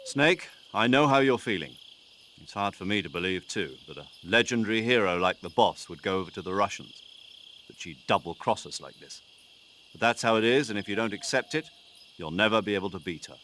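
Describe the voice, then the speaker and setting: A man's voice: fairly low pitch, lively intonation, a little fast, a bit bright, a little hiss or hum. An actor playing a male mission commander briefing an agent over the radio, firm and urgent.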